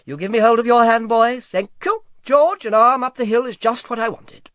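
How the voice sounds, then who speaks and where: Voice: medium pitch, normal speed, fairly bright tone, no room sound, studio quality, voice pushed up, lightly acted. A reader voicing a big, good-natured male dragon who murmurs sleepily in a half-whisper. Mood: bustling.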